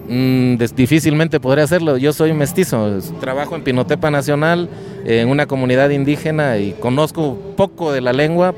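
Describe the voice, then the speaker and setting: Earnest Mexican man at a street protest. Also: mid-range voice. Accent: Mexican Spanish. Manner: earnest, measured, with frequent filler "eh" and "pues". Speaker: striking teacher interviewed at a protest. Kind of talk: street interview.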